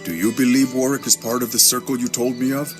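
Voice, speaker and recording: A man playing a grave, loyal warrior in a video game cutscene, speaking in a measured, formal, solemn way. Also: mid-range voice, steady tone, music in the background, lightly acted. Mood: questioning.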